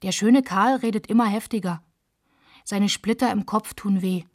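A woman's voice: medium pitch, moderate pace, dry recording, studio quality, natural voice. A woman in a literary radio play, narrating as a girl who witnesses postwar family life, in plain short sentences. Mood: sober. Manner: plain short sentences, quoting shouted insults.

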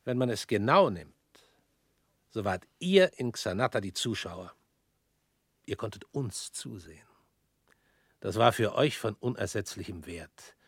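An actor in a radio play playing a weary, guilt-laden former party official who talks in a measured, brooding way and often drops to a whisper. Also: male voice, lowish voice, animated, fairly bright tone, husky, no echo, studio quality, exaggerated voice.

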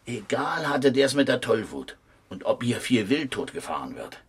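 An actor playing a terse, folksy East German forestry worker, gruff and fond of teasing, in a 1970s radio crime drama. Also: male voice, medium pitch, very animated, normal speed, dry recording. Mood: suspicious. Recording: clearly noisy old radio play recording.

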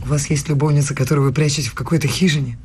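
An actress playing a proud, wounded young student in a radio play, with a low husky voice and pointed delivery. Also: female voice, steady tone, racing, a little mellow, a little hiss or hum, close to an everyday voice. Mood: hurt, accusing. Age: young adult. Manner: pointed, challenging.

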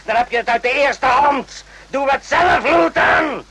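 An actor playing a menacing, paranoid military officer in a radio play, with a husky voice and a mocking, needling manner. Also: male voice, very high voice, a little slow, bright, forceful tone, a little hiss or hum, full character voice. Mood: taunting. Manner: mocking, needling, rhetorical questions.

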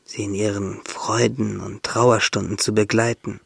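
A husky, gravelly shipwrecked young seaman. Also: lowish voice, little pitch movement, a little slow, no room sound, heavily acted. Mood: tender. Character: shipwrecked young seaman, swimming in darkness, wistful, tender. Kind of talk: radio drama monologue.

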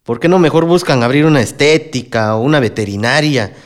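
A man's voice: medium pitch, lively intonation, a little fast, close to an everyday voice. Man acting a dismissive Mexican small-town mayor. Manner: officious, dismissive, guarded. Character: evasive, condescending male small-town mayor. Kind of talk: radio drama acting.

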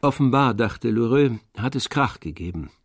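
Male narrator, medium pitch, measured literary storytelling, close dry studio, faint music. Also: expressive, no echo. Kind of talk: scripted literary narration in a radio drama.

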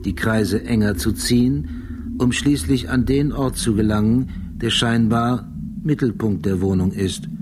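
A man's voice: mid-range voice, unhurried, lightly acted. An actor playing a brooding, obsessive male police detective in a radio drama, weary and haunted, speaking in terse, meticulous phrases. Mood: detached, meticulous. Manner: terse fragments, meticulous describing. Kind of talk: German radio drama monologue and dialogue. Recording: clearly noisy background.

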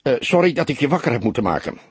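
A man's voice: mid-range voice, big pitch swings, rapid-fire, husky, no echo, pitched above the performer's own voice. A man voicing a young male police detective in an audiobook, hesitant, stammering and apologetic, anxiously pleading with his mentor. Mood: nervous, pleading.

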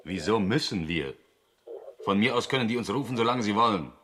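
Bright, sceptical male astronaut. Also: on the low side, animated, a little fast, slight background noise. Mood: dismissive, reassuring. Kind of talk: German radio drama acting.

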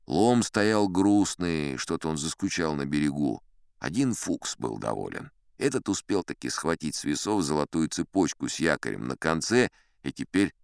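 A man with a low, resonant voice narrates an adventure tale. His delivery is theatrical and highly varied in pitch, with dramatic pauses that shape each phrase like a stage storyteller. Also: vocal fry, smooth, no room sound, studio quality. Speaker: audiobook narrator. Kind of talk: expressive scripted adventure storytelling in first person.

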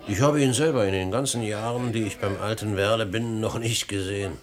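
An actor in a radio play playing a fussy, gossipy manservant with an officious manner. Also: male voice, on the low side, very noisy, natural voice. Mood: gossiping. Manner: brisk, officious. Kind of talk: German radio play acting. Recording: busy crowd murmur behind.

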